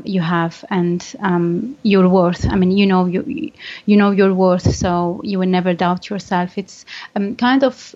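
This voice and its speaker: A woman's voice: fairly low pitch, a little mellow, muffled recording. A woman from Algeria answering interview questions earnestly, with frequent "um" fillers and restarts. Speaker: guest interviewee, finance professional.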